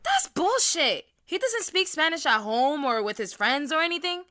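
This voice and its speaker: A teenage Puerto Rican girl in an audio drama, with a high, bright voice and a dry, close sound. She talks bluntly and in slang, like a kid stuck in detention. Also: sing-song, normal speed, no echo, heavily acted. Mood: blunt, sympathetic. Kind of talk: naturalistic audio drama acting.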